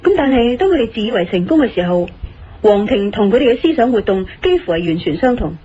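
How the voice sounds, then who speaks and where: An actress playing a sharp, confident woman who reasons things out deliberately in a Cantonese audio drama, with a Hong Kong accent. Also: fairly high pitch, very animated, a little hiss or hum. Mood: assured, probing. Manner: deliberate, didactic, assured. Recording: slightly muffled radio drama, music underneath.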